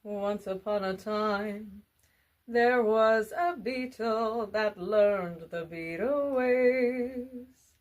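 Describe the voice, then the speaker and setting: A woman telling a children's bedtime story in a drawn-out, singsong delivery. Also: medium pitch, dull audio.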